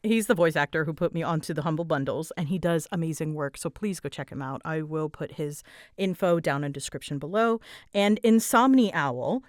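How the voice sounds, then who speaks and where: Woman, lower-pitched voice, dry close recording, casual rambling chat, informal, self-deprecating. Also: fairly quick, dry recording. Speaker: audio creator and voice artist answering listener questions.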